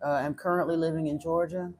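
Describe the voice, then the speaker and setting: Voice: mid-range voice, little pitch movement, fast talker, darkish tone, slight background noise. A livestream host from Florida giving spiritual advice in casual chat, direct and at times blunt, with frequent fillers and restarts. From Florida, United States. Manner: chatty and direct, turns blunt and stern. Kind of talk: casual livestream chat answering viewer comments.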